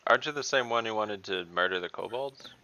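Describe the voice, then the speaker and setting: A man playing a tabletop game in character, talking in a measured, wry and slightly formal way. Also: fairly low pitch, bright, forceful tone. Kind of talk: in-character improvised game talk.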